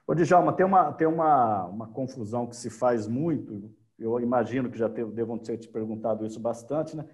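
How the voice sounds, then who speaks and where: A Brazilian man co-hosts over a webcam and puts his questions casually, with frequent tag questions. His voice sits at an ordinary middle pitch for a man. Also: fairly quick, darkish tone. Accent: Brazilian Portuguese. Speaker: co-host. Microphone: video call webcam.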